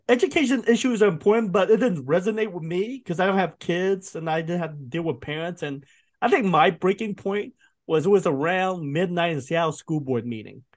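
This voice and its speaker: Man in his 50s, guest on a podcast, kinda high voice, animated, laughs a lot and restarts sentences. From Vietnam. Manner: animated storyteller, laughs often, frequent restarts. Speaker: guest, food writer. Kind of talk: casual podcast interview.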